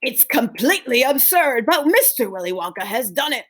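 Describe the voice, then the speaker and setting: A woman voicing an excitable, ancient storybook grandfather. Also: high voice, normal speed, husky, pitched above the performer's own voice, exaggerated voice. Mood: emphatic. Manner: emphatic, exclaiming, savoring superlatives. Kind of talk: acted children's storybook reading.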